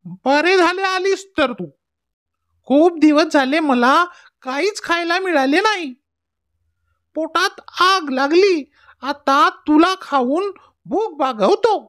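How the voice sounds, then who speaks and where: Woman voicing very high-pitched hungry cartoon fox. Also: expressive, takes it slowly, pauses often, a bit bright, exaggerated voice. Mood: hungry, menacing. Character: hungry, menacing but gullible male jungle fox. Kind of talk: children's cartoon storytelling.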